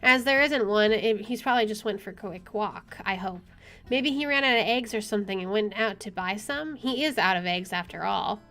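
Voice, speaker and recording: A woman's voice: medium pitch, normal speed, fairly bright tone. A woman reading visual novel narration aloud as a game streamer, informally, with offhand asides. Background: game music.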